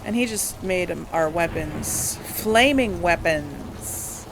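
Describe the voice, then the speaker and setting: A man's voice: fairly high pitch, expressive, takes it slowly, gravelly, background noise. A man giving casual gameplay commentary, thinking aloud as he hosts a let's play. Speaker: gamer, let's play host.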